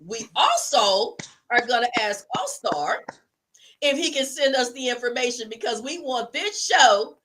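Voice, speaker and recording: A woman talks fast and loose on a livestream, her high voice worn hoarse. She is animated and a little boastful, and she sprinkles in plenty of y'all as she plugs an upcoming show. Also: noisy background. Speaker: podcast guest and event organizer. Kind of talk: casual livestream chat.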